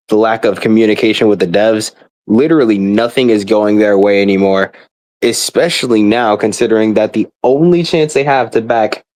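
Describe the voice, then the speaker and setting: Young man, lowish pitch, dry sound, casual rant, exasperated, blunt, swearing gamer. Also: no echo.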